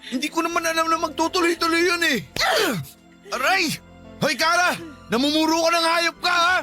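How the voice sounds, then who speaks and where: High-pitched, agitated cheating Filipino husband. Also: male voice, normal speed, full character voice. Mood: defensive panic. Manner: loud, defensive, scolding. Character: cheating husband, agitated, blaming his mistress. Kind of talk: Filipino radio drama acting. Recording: music bed behind voice.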